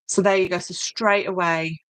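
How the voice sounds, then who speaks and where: Low-pitched Yorkshire woman, chatty crafter. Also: steady tone, normal speed, fairly bright tone, clean tone, no room sound. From Yorkshire, northern England. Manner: chatty, thinking aloud, tag questions. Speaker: craft tutorial host. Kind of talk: informal live craft demonstration, chatting to viewers.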